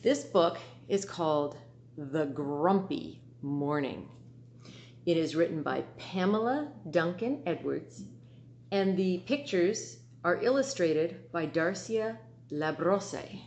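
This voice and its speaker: A middle-aged woman reading aloud to children in an expressive storytelling manner at home in a living room. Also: on the low side, a little hiss or hum. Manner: slow, expressive, animated.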